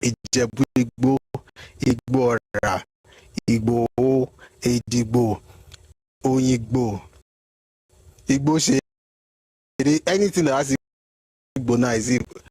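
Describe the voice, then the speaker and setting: A man's voice: mid-range voice, slow, long pauses, mellow, breathy edge, dry recording. A man giving history commentary, explaining word by word with a mocking edge.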